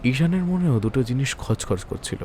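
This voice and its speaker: A man's voice: medium pitch, expressive, moderate pace, mellow. A man tells a scripted crime story as narrator. His voice sits in the middle range, with a dramatic storytelling delivery. Slight noise is heard behind him.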